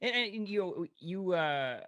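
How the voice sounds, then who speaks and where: A man's voice: high voice, animated, slow pace, fairly bright tone, dry recording, clean studio sound. A man with an American accent hosting a podcast, chatting casually as he interviews a guest. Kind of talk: casual chat.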